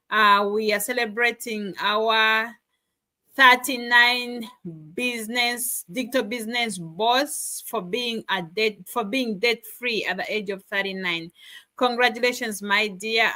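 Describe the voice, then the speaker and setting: Ugandan-born woman co-host, bright and slightly high, celebratory energy on a video call. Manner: enthusiastic, celebratory. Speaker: co-host, digital business mentor. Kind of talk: upbeat live-stream chat.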